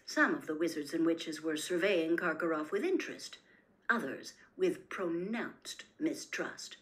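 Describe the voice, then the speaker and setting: A woman's voice: low voice, measured pace. A woman reading a story aloud as scripted audiobook-style narration.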